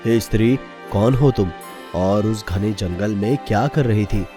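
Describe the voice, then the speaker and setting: A man's voice: on the low side, measured pace, music in the background, close to an everyday voice. A man playing a kindly, dignified king who speaks formally in a cartoon story. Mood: concerned. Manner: regal, formal. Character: kindly, dignified king of a fairy-tale kingdom.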